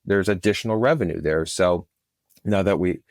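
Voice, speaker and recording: A man's voice: low voice, normal speed, no room sound, clean studio sound. A man speaking casually as an interview guest, often repeating words as he thinks his way through an answer. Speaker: producer, podcast guest.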